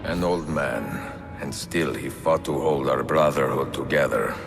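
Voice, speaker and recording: An actor playing a reflective, aging assassin in a video game, narrating gravely and with measured respect. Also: male voice, extremely low pitch, full character voice. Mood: solemn admiration. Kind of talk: solemn dramatic narration. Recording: long hall reverb, faint music.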